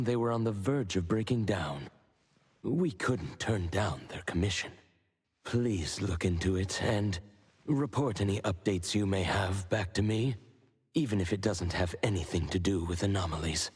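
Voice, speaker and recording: A composed agency boss in a video game. His voice is low and very husky, with long reverb trailing it. He speaks formally, in measured, polite, businesslike phrases. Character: composed, formal male superior at an anomaly-hunting agency.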